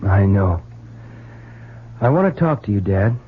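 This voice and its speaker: Evasive young guy, casual, slightly rough voice, lively pitch, tinny vintage radio drama. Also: a little slow. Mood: evasive, troubled. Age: young adult. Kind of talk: old-time radio drama acting. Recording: thin, band-limited old radio recording.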